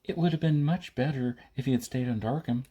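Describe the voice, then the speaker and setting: A man's voice: mid-range voice, a little fast, mellow, slightly rough, muffled recording, voice pushed up, natural voice. A man voicing a cheerful young woman of about twenty-one, a sweet, eager spaceship pilot in an audiobook. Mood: concerned, sweet.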